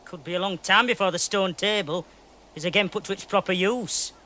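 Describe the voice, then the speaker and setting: Sharp, edgy, sly henchman. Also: sits high, a little fast. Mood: sly urging. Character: sly, practical henchman of an evil queen. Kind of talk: fantasy drama acting.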